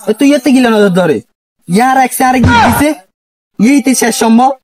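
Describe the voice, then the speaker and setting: A man's voice: very high-pitched, expressive, fairly quick, darkish tone. A man with a regional Bangladeshi accent playing a pompous, crooked police detective boss who barks orders and declaims like a poet in a comedy sketch. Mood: irritated. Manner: bossy, mock-poetic declaiming. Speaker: comic actor in a skit. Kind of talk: amateur comedy sketch parodying a TV crime show.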